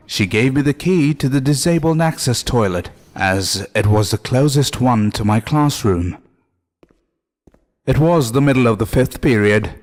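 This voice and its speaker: A man reading a scripted first-person horror story as narrator, with music behind him. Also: on the low side.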